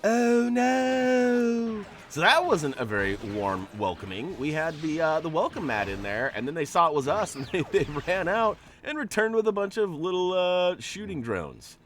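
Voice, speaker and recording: A man's voice: sits high, sing-song, normal speed, fairly bright tone. A man with a nasal, stuffy voice from allergies, giving chatty, joking gameplay commentary as a channel host. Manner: chatty, joking, rambling asides.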